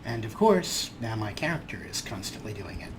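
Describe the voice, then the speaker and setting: A man's voice: fairly low pitch, normal speed, background noise. Wry man narrating gameplay. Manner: dry, wry humor, relaxed explaining. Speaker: let's play host. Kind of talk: casual game commentary.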